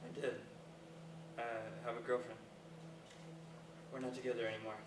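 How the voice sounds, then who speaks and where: An actor plays an earnest young man with a medium-pitched voice. He is hesitant and reflective, speaking as naturally as in conversation. The stage recording is echoey, with clear background noise. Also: level tone, normal speed, natural voice. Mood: matter-of-fact. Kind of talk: staged play reading, naturalistic dialogue. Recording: echoey, distant, noisy live stage recording.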